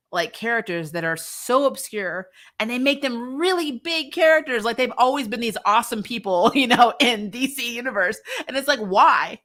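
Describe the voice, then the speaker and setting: A woman's voice: fairly high pitch, a bit bright. A woman hosting a recap show in casual chat, giving blunt, opinionated commentary with salty language and frequent laughter. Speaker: host of a comics and TV recap show. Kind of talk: casual chat, reviewing superhero shows and answering viewer comments.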